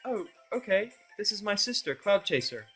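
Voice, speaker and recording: A man voicing a shy, soft-spoken female cartoon pony with a tentative, gentle manner in a fan audio drama. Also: high voice, very animated, normal speed, creaky, soft background music, voice pushed up, full character voice.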